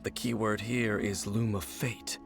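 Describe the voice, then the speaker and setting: A man playing a grave, stoic warrior guide in a video game, speaking in a solemn, formal, measured manner. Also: on the low side, little pitch movement, creaky, soft background music. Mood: pondering, solemn. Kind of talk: video game character acting.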